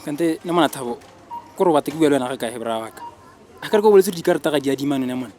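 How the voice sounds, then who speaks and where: A man's voice: on the high side, animated, very fast, a little mellow, slight background noise, close to an everyday voice. A man playing a reluctant, no-nonsense boss in a radio drama, with a Botswana accent and slangy, admonishing speech. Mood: reluctant, scolding.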